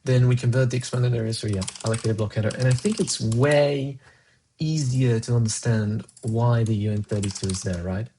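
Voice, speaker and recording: Man, Spanish speaker, casual live coding, thinking out loud, faint keyboard typing behind. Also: medium pitch, normal speed, a little hiss or hum. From Colombia. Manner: muses aloud, answers chat between tasks. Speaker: programmer streaming live coding.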